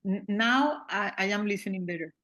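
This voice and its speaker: Woman in her sixties, halting English. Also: mid-range voice, fairly bright tone. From Venezuela. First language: Spanish. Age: 60s. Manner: halting English, searching for words. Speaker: meditation group participant. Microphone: video call.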